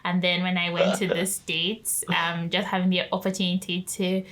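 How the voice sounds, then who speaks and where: A woman's voice: lowish voice, little pitch movement, normal speed, a bit bright. A woman in her twenties, a graduate student guest answering interview questions politely, often affirming with "yes" and light laughs. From Nigeria. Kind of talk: casual interview.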